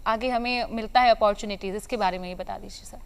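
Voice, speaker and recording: A woman's voice: medium pitch, steady tone, quick pace, vocal fry, smooth. A woman hosting a television show, putting questions to a guest in an interview. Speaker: TV host.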